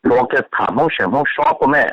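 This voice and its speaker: A man's voice: very fast, dry recording. A man talking casually as a participant on a conference call. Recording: dull lo-fi telephone line.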